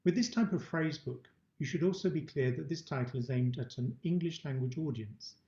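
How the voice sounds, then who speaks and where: A man's voice: fairly high pitch, a little fast, mellow. A middle-aged man giving a prepared instructional presentation in an indoor room. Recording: dull, roomy webcam-style recording.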